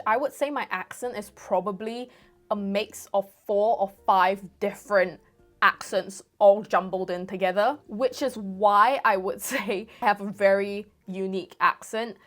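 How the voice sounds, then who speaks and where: A woman's voice: mid-range voice, fairly bright tone. A woman in her twenties with a Singaporean accent tinged with British, chatting casually to camera and rambling as she answers viewer questions. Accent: Singaporean with a light British mix. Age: 20s. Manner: chatty, rambling, self-correcting. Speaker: golf YouTuber. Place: room at home with football shirts on the wall.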